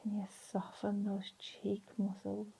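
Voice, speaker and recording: A woman leading a guided relaxation in a soft, breathy, soothing voice, leaving long pauses between phrases. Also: mid-range voice, completely flat delivery, low-quality recording. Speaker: meditation and relaxation instructor.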